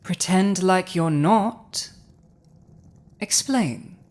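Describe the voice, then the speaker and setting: A woman playing a proud, dominant dragon ruler in an intimate audio roleplay, with a languid, haughty, theatrical delivery and dramatic pauses. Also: fairly low pitch, a little mellow. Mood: thoughtful, scheming.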